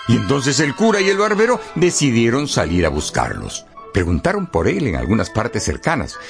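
A man narrating a scripted radio drama as a storyteller, in a dramatic and expressive way. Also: fairly low pitch, gravelly.

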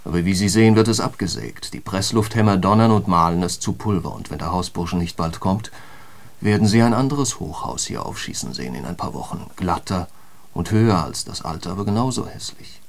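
An actor playing a grave, literary narrator in a radio drama, with a measured and rhetorical delivery. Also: male voice, low-pitched, gravelly. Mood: wry, pointed. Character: male narrator, grave literary guide to a harsh New York.

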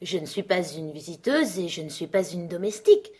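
A woman voicing an inquisitive, easily impatient young girl in a storybook, with proper, expressive phrasing. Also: on the low side, fairly quick, slightly rough. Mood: intrigued.

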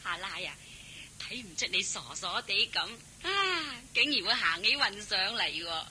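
A woman's voice: medium pitch, sing-song, normal speed, bright, forceful tone, a little hiss or hum, low-quality recording. An actress playing a shrewd, chatty household servant woman in a Cantonese radio drama, coaxing and practical. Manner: coaxing, colloquial, exclaiming.

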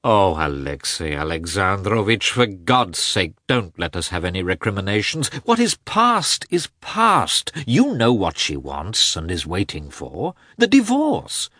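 A man voicing an earnest, excitable gentleman in an audiobook, pleading in an emphatic, effusive way. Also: low voice, measured pace, few pauses, dry recording, studio quality, voice pushed up, exaggerated voice. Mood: imploring. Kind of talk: audiobook character voice.

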